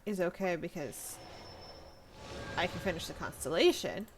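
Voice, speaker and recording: A woman's voice: fairly low pitch, lively intonation, normal speed, a little hiss or hum. A woman giving casual, rambling live commentary as she streams a video game.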